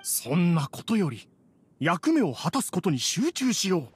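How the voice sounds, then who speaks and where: A man's voice: sits high, very animated, a little slow, breathy edge, heavily acted. A man playing a theatrical bandit in a video game, speaking in pompous, archaic phrases. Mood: pompous, menacing. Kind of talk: Japanese video game voice acting.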